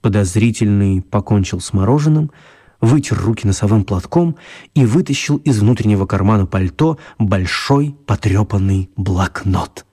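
A man's voice: on the low side, expressive, normal speed, warm tone, husky. A man narrating a mock spy adventure as the storyteller of a children's radio play. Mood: measured storytelling.

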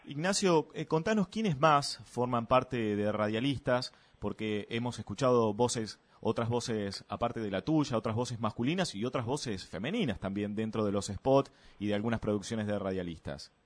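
A man hosts a radio talk show with an easy, conversational manner. His voice sits at an ordinary middle pitch. He asks questions in a friendly, chatty tone. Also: normal speed, few pauses. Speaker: radio host. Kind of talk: radio interview.

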